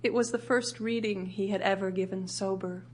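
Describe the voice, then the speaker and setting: An actress playing a reflective, admiring wife in a deliberate dramatic monologue for audio theatre. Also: female voice, medium pitch, steady tone, a little hiss or hum, natural voice. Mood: reflective admiration. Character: reflective, admiring wife recalling her writer husband.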